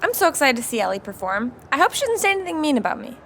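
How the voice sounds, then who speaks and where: An excitable young woman, played by an actress, very high and swooping in pitch. She talks in an animated way, with a clear hiss behind her. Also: exaggerated voice. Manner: animated, quick to shout.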